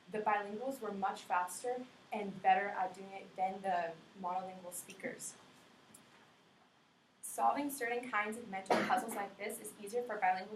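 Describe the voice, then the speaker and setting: A young woman delivers an informative class speech, earnest and often restarting her sentences. Her voice sits in a middling pitch range. The recording is distant and roomy, with a faint hiss in the background. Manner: earnest, frequent restarts and self-corrections. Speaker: student giving a class speech.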